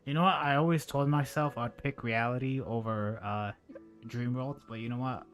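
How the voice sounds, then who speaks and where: Rambling streamer, faint music behind. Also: male voice, medium pitch, steady tone, moderate pace, fairly bright tone. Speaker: game streamer. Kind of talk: casual live game commentary. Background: faint game music.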